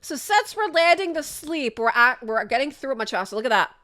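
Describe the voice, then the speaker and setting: A woman's voice: sits high, sing-song, a little fast, sharp tone, soft background music. A young woman casually commentating her gameplay to viewers, sniffly from a sinus infection, chatty and upbeat, recorded indoors on a desk mic. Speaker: gaming content creator.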